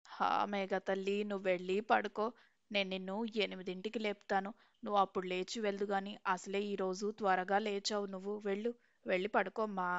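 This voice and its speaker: A strict, lecturing mother-in-law from a cartoon story, put on by a woman narrator. The voice sits lower than the narrator's own. The recording is dry and a little dull. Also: level tone, fairly quick, smooth, dry recording, close to an everyday voice. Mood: stern. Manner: stern, lecturing.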